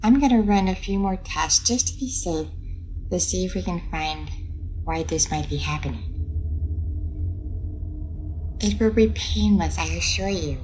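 A woman's voice: lowish voice, measured pace, long pauses, a little mellow, slight background noise, lightly acted. A woman playing a friendly, curious alien starship captain in an audio roleplay, soothing and a little hesitant as she addresses the listener. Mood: curious, reassuring.

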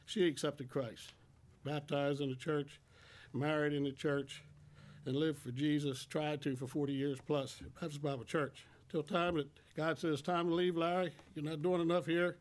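A man in his sixties giving a personal testimony to a congregation in a plain, folksy, halting way, speaking into a stand microphone. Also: on the high side, little pitch movement. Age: 60s. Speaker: church member giving testimony. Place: church sanctuary at a lectern.

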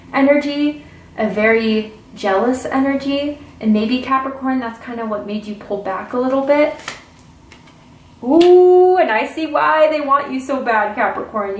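A woman gives a casual, chatty tarot reading at her table, musing aloud with soft "ooh"s and "mm"s. Her voice sits in a middling range and is picked up from a little distance. The room is echoey, with a faint hiss underneath. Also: mid-range voice. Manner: chatty, intuitive, drawn-out "ooh"s and "mm"s. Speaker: tarot reader. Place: indoors at a tarot table with crystals and candles. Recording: roomy, distant camera mic with faint hiss.

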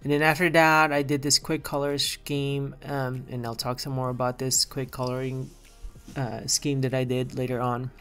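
A man in his forties who grew up in the Philippines, casually narrating his own painting timelapse with a relaxed, rambling delivery full of fillers. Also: medium pitch, normal speed, clean tone, faint music behind. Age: 40s. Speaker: digital artist.

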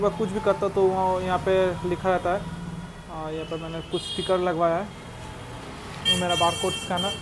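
A young man in his twenties chatting loosely as he gives a selfie tour of his small tea shop, filmed on a phone held at arm's length. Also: high voice. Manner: chatty, loose and rambling, with frequent restarts. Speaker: small cafe owner and vlogger. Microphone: phone camera held at arm's length. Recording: noisy phone recording with street sounds.

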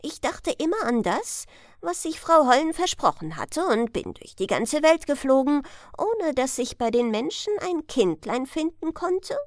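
Lady doing a wise old male stork for a storybook, solemn, slow, swingy pitch. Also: very animated, no echo, clean studio sound. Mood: solemn wonder. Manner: interjects "äh", proclaims solemnly. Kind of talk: storybook character voice.

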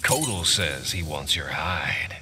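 Deep drawling Southern gunslinger. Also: male voice, sing-song, slow, sharp tone, soft background music, full character voice. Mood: cocky, wry. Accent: American Southern. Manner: drawling, wry. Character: cocky gunslinger mercenary cowboy, amused and dangerous. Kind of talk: fighting game character dialogue. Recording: clear game background noise.

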